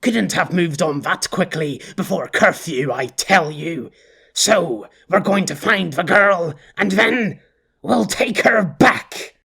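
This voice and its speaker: A man voicing a blustering, boastful petty male gang boss who rants and bosses his crew around, with a husky voice. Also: sits high, animated, slow pace, pushed and bright, pitched above the performer's own voice, full character voice. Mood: bossy. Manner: boastful, bossy, rough-talking.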